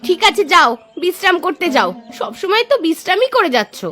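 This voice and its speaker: A woman's voice: very high voice, sing-song, brisk, pushed and bright, soft background music, heavily acted. A woman voicing a hospitable, slightly anxious village housewife in a cartoon. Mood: annoyed. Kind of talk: Bengali cartoon voice acting.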